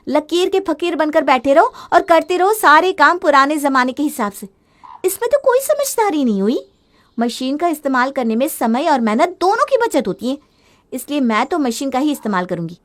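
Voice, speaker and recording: A woman voicing a young, modern daughter-in-law, chatty, impatient and quick to complain, in a family moral story. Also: sits high.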